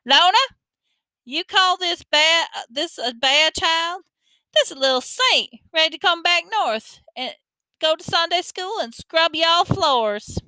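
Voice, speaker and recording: A woman's voice: high voice, expressive, a little slow, sharp tone, no echo, pitched above the performer's own voice, heavily acted, low-quality recording. A woman voicing a feisty, doting old grandmother with a Southern US accent in a story, exclamatory, folksy and bossy. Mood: loud, defiant.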